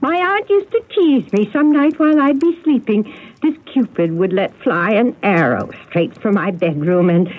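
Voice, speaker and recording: Sweet, wistful, flustered lady, acted by a woman, slowish and swooping, exclaiming, radio mystery drama, thin noisy audio. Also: mid-range voice, expressive, narrow, tinny audio, lightly acted. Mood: nostalgic, delighted. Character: sweet, flustered, nostalgic woman recalling childhood.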